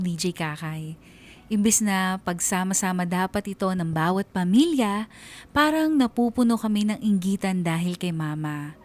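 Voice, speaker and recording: A woman playing a weary married Filipina daughter who confides her story in measured, resigned radio drama narration. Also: mid-range voice, natural voice.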